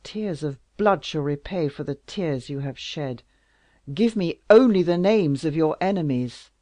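In a storybook reading, a proud, lovesick king rages and pleads in a loud, declamatory voice, as the reader puts him on. The delivery is slow and deliberate, with no room echo. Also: medium pitch, animated, lightly acted. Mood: impassioned fury. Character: passionate powerful male king, lovesick and wrathful. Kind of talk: audiobook character reading.

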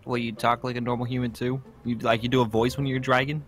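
A man's voice: mid-range voice, flat delivery, fast talker, fairly bright tone, soft background music, natural voice. A man playing a skeptical, eager young trainee with dragon powers in a casual, questioning gaming roleplay. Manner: questioning, informal.

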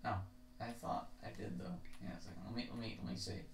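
Very low-voiced woman in noisy, echoey recording. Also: a little fast, vocal fry. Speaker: gaming video co-host running the minigame. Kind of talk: casual gameplay chat. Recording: echoey room, noisy mic with clicks.

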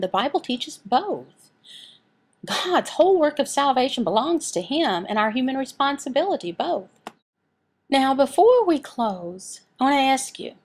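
Female sermon interpreter, slightly high voice, slow and deliberate phrase-by-phrase delivery. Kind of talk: sermon and prayer, voiced from sign language.

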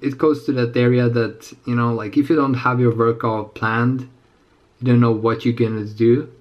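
Slow, slightly raspy young man chatting. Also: mid-range voice. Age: 20s. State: slightly sick. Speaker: fitness vlogger. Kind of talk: casual unscripted chat to camera. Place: small indoor room with plain walls.

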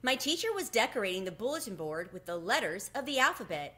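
A middle-aged woman reading a children's story aloud in an animated storytelling manner. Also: mid-range voice, fast. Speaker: book reader. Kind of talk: expressive children's read-aloud. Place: home room with bookshelves, indoors. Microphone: webcam at desk distance.

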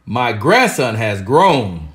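Male actor as kindly old grandfather, hearty, encouraging, very swoopy animated delivery, storybook dialogue. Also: mid-range voice, takes it slowly. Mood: proud, cheerful. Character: cheerful, kindly old grandpa who loves adventures. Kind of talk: children's storybook read-aloud.

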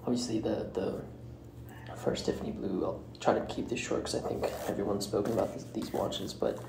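Very deep, gravelly male podcast host. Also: fairly even intonation. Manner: halting, hesitant, often breaking phrases mid-way. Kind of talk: solo podcast commentary. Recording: roomy echo with clear background noise.

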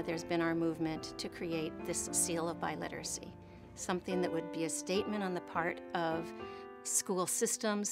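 Calm woman explaining, music underneath. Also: lowish voice. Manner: measured, explanatory. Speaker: education advocate. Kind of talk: documentary interview. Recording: music bed under interview.

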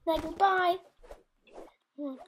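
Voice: very high voice, slow, a little hiss or hum. A child improvising an amateur voice-over for a cartoon. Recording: music behind the voice.

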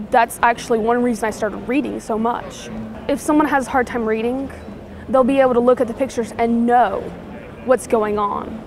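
A woman speaking earnestly and reflectively in a casual interview. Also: a little high.

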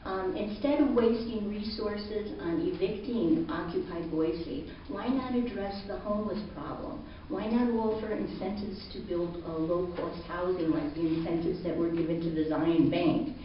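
A woman's voice: lowish voice, a little hiss or hum. Earnest older woman testifying in a hall. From Idaho. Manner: earnest, measured, with filler ums. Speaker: public testifier. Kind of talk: reading prepared testimony. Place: large hearing room indoors. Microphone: podium mic from far camera. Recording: roomy far-off lo-fi hall recording.

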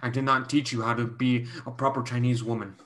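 A young man plays a stern guardian who sees protecting a girl as his duty. His mid-range voice is measured and solemn, read as a stage play over a video call. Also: mid-range voice, flat delivery, fairly bright tone, natural voice. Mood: solemn, insistent.

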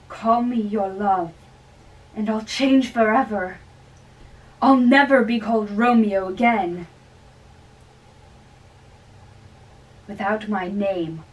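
A woman acts out an earnest young man, reckless with love, in an amateur play reading. The delivery is slow and halting. It is picked up from across a room. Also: mid-range voice, slight background noise, close to an everyday voice. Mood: earnest devotion. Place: small bedroom, indoors. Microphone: far camera. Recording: distant room microphone.

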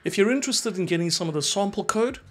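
A South African man in his forties presents a tech tutorial. His voice sits a little higher than most men's. He explains things step by step, close to a desk mic in a small home studio. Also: expressive, quick pace. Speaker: instructor, presenter. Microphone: close desk microphone.

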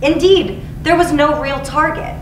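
A woman's voice: high-pitched, a little slow. A woman, around her thirties, reading narration aloud from a book as a teacher would. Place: indoor school studio, seated. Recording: roomy, distant mic, clearly noisy.

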